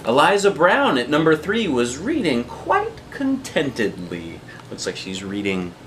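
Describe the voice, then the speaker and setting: A man reads a children's picture book aloud in the style of a dad at storytime. His delivery is slow and very lively in pitch, with dramatic, rhythmic phrasing and casual asides. A faint noise runs under the recording.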